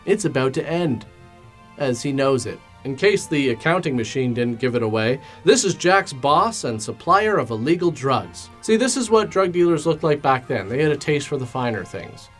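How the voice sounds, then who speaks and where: A man's voice: mid-range voice, sing-song, normal speed, soft background music. A man narrating a comedic film recap in a sarcastic, mock-dramatic and casually crude way. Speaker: host of a film commentary show.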